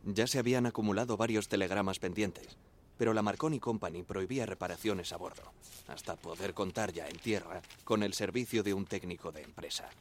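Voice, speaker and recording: An actor playing an earnest young radio operator of the 1910s, narrating in the first person in a measured, plain way. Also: male voice, low-pitched, slight background noise, lightly acted. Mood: measured, reminiscing. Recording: music behind the voice.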